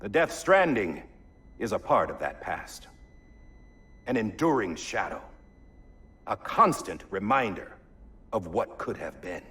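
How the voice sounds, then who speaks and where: An actor playing a solemn middle-aged male president delivering a measured, oratorical speech in a video game cutscene. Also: lowish voice, big pitch swings, bright, forceful tone, slightly rough, heavily acted.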